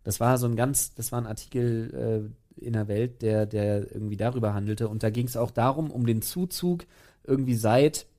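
A man with an average-pitched voice co-hosting a loose podcast conversation. He is from Berlin, jokes a lot, teases himself and the others, and talks in an animated, casual way. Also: normal speed. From Berlin, Germany. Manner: joking, self-mocking, animated. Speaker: podcast co-host.

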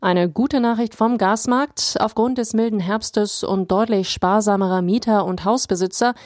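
A woman reading news items in a measured, serious scripted style. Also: medium pitch, darkish tone, dry recording, studio quality. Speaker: news presenter.

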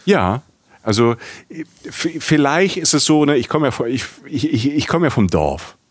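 A man's voice: mid-range voice, very animated, moderate pace, no echo, clean studio sound. A man co-hosting a casual travel chat, talking enthusiastically and rambling with many restarts and fillers. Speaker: podcast co-host.

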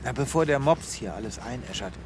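An actor playing a melodramatic, self-pitying animal doctor in an audio drama, speaking theatrically. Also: male voice, medium pitch, lively intonation, brisk, noisy background, close to an everyday voice. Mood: despairing. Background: music.

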